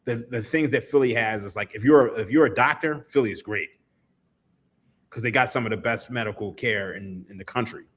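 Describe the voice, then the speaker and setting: A man's voice: mid-range voice, a little fast. A man, a podcast guest telling stories in casual chat, laughing and swearing freely. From Philadelphia, USA. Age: 30s. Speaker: podcast guest, sports writer.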